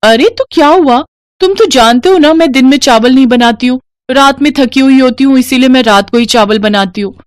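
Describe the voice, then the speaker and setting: A woman voicing an irritable, stubborn young daughter-in-law in a moral story, with a brisk, complaining manner. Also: high voice, dry recording, studio quality, pitched above the performer's own voice, natural voice. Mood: defensive. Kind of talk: scripted Hindi moral-story cartoon voice.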